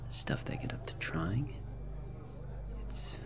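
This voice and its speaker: A man's voice: slow pace, lightly acted. Whispery, jaded drunk at a bar, acted by a man. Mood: grim, bitter. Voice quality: breathy, whispery, creaky. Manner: guarded, muttering, boozy. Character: jaded, world-weary male reclaimer drowning his woes in drink. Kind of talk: ASMR roleplay monologue. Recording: loud bar ambience music behind. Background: bar night ambience and music.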